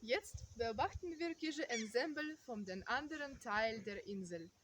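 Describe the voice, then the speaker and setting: A woman's voice: on the high side, lively intonation, a little slow. A woman from Karelia with a Russian accent, guiding viewers through local sights in German as a travel vlogger, explaining steadily with small halts in her second language, recorded outdoors. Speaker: travel vlogger and tour guide. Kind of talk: guided travel narration. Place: outdoors, lakeside and island sightseeing spots. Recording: distant outdoor camera mic with loud background noise.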